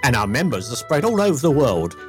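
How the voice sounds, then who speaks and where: A man's voice: medium pitch, very animated, a little fast, soft background music. A man from England co-hosting a podcast, chatting casually and joking, with fillers along the way. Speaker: podcast co-host. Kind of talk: casual chat.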